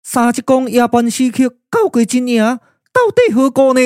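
A man's voice: extremely high pitch, big pitch swings, normal speed, a little mellow, no echo, studio quality. A man voicing an earnest, alert young warrior in a Taiwanese puppet radio drama. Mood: urgent, curious.

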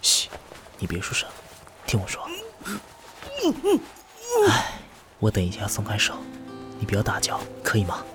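A man's voice: on the low side, steady tone, measured pace, pauses often, over music, natural voice. An actor playing a vengeful, scheming man in a Mandarin audio drama, controlled and menacing in delivery. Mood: hushed, urgent persuasion. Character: vengeful man, calm schemer turned gleeful killer.

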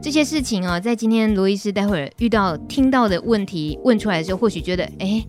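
A woman's voice: medium pitch, lively intonation, fast talker, darkish tone. Taiwanese lady radio host, mid-range voice, some music under her. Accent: Taiwanese Mandarin. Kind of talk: live web radio hosting.

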